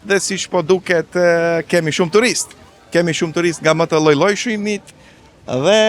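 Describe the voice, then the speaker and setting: A high-pitched male street interviewer with an Albanian accent from North Macedonia. He talks casually as host, recorded outdoors in a city centre.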